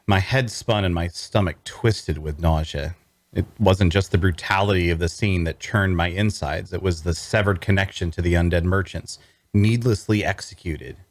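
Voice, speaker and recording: A low-pitched, closely recorded man performing as a wry necromancer storyteller. He narrates a dark fantasy audio drama in dramatic first person, sounding queasy and sardonic. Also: no room sound. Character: wry, queasy male necromancer telling his own tale.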